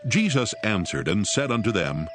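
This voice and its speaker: A man's voice: lowish voice, sing-song, moderate pace. A man narrating scripture from a script in a formal, dramatic storytelling manner. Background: prominent background music.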